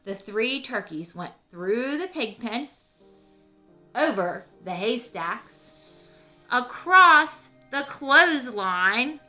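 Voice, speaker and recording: A woman's voice: on the high side, lively intonation, very slow, bright, forceful tone, soft background music, low-quality recording. A woman narrating a children's storybook expressively as read-aloud host, with teacherly explanatory asides.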